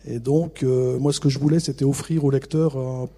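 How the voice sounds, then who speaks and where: A middle-aged man from the Vosges with a local accent, an author answering interview questions in a relaxed, self-mocking way with many hesitations. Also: medium pitch, normal speed. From Vosges, France. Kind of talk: radio interview.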